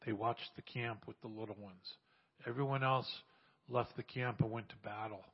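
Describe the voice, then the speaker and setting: A man in his sixties giving an animated church testimony, exhorting and repeating phrases for emphasis. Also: mid-range voice, normal speed, vocal fry, husky, lo-fi sound. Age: 60s. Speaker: church speaker sharing mission testimony. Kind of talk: sermon testimony.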